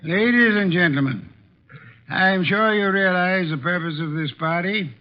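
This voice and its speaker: An actor playing a pompous, imperious, brilliant large detective in a theatrical old radio style. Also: male voice, high-pitched, moderate pace, close to an everyday voice. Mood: commanding. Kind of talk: 1940s-50s radio acting. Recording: muffled old radio recording.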